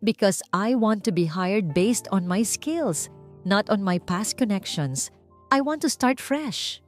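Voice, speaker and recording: A woman with a Filipino accent voicing a determined young female architect, earnest and deliberate, in a romance story. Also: medium pitch, lively intonation. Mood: earnest resolve. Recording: music under the voice.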